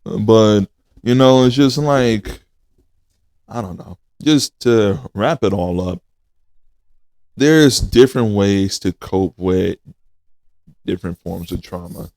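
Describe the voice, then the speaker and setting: A man in his twenties hosting a casual podcast, talking in a slow, reflective way and addressing listeners as y'all. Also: fairly low pitch, vocal fry, a little mellow, no room sound. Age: 20s.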